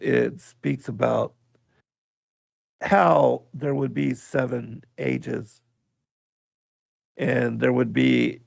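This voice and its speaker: A man hosting a radio livestream, hoarse, with his voice going out, talking slowly and haltingly with many pauses while he reads from the chat. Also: medium pitch, dry recording, muffled recording. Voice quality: gravelly. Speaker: radio show host.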